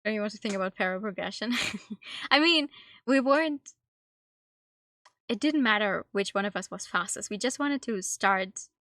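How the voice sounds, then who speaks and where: A woman's voice: medium pitch, animated, moderate pace, long pauses, fairly bright tone. A woman live streaming a game, chatting casually and informally with her viewers. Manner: informal, conversational, talking to viewers. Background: background music.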